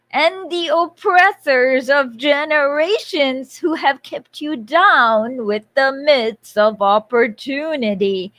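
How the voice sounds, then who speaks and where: A woman doing an impression of a menacing masked male villain, proclaiming slowly and theatrically like a grand revolutionary speech. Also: high voice, fairly bright tone, dry recording, heavily acted.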